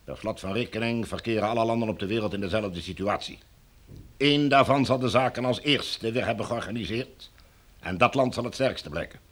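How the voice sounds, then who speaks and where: An actor playing an officious, domineering commander in a Dutch radio drama, with a formal, firm, bureaucratic way of talking. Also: male voice, on the low side, a little fast, close to an everyday voice. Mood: calculating, authoritative.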